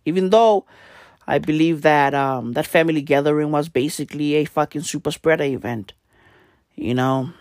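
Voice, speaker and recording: A young man with a hoarse, raspy voice talks to the camera in a loose, rambling way. He swears freely and leans on fillers as he goes. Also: a little high, no room sound, studio quality. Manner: profane, rambling, laid-back, many fillers. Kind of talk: casual rambling monologue.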